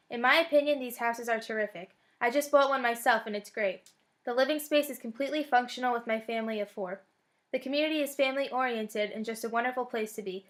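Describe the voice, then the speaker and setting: A young woman reads scripted news as co-anchor of a 1950s-style student broadcast. She moves along quickly in a fairly high voice. The read sounds rehearsed. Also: fairly bright tone.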